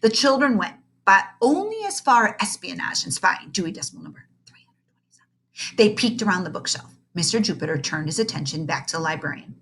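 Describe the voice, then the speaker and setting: A woman reading a children's story aloud in an expressive, dramatic way, stretching key words. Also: on the low side, fairly quick, gravelly, slight background noise. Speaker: read-aloud narrator.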